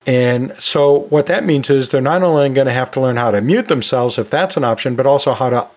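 A man's voice: medium pitch, fairly quick. Man giving conversational podcast tips. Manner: explanatory, informal asides. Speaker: podcast host and college teacher.

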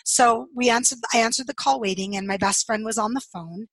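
A woman's voice: fairly high pitch, fairly quick, dry recording, low-quality recording. A woman guest on a podcast, telling a personal story in an earnest, candid way.

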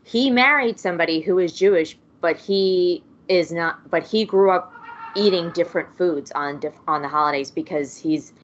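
A woman with a lowish voice talks in a rambling, casual way, full of fillers and side remarks. The recording sounds dull and lo-fi. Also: moderate pace, a little hiss or hum. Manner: rambling, lots of fillers and asides. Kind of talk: casual chat.

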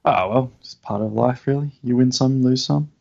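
Hesitant gravelly man, low-energy. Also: moderate pace. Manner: hesitant, self-deprecating, many fillers. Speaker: podcast co-host. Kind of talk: casual chat. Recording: dull lo-fi sound.

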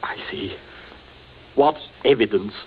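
An actor playing a nervous, cornered gentleman in an old radio thriller, husky and stammering. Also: lowish voice, slow pace. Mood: frightened, protesting. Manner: stammering, hesitant, flustered. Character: nervous, cornered gentleman being blackmailed into silence. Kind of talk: 1940s radio thriller acting. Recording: tinny old-time radio recording.

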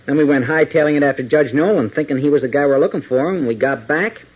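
A man's voice: on the high side, quick pace, breathy edge. An American man playing a breezy, wisecracking newspaper reporter in a 1940s radio serial, speaking in slangy lines. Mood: earnest, insistent. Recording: muffled vintage 1940s radio recording.

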